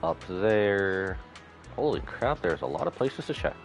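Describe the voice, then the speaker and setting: Male streamer, very low pitch, animated inflection, casual game commentary, reads aloud, game music behind. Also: a little slow. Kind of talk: live game commentary, reading dialogue and menus aloud.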